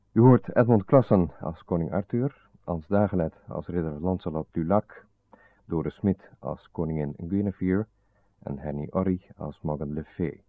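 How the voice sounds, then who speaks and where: Male credits announcer: formal, unhurried, dry sound, narrow and dull radio recording. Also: sits low, no room sound.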